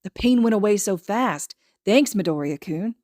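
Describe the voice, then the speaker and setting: A woman's voice: medium pitch, expressive, normal speed, darkish tone, dry recording, clean studio sound, close to an everyday voice. A woman voicing a bold, curious young boy with a casual, laid-back manner in an audiobook. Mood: impressed. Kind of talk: fan fiction audiobook acting.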